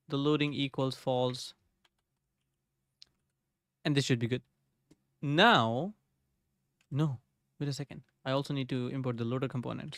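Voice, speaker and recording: A man's voice: medium pitch, smooth, dry recording, clean studio sound. A young man streaming live from his home desk, thinking aloud as he codes and greeting viewers in the chat. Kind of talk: casual live coding chat. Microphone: desk microphone.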